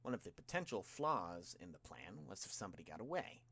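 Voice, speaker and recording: A man with a fairly low voice reads fiction aloud. He narrates scripted prose in the role of an audiobook reader. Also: very animated, fairly quick, creaky, bright, forceful tone, husky.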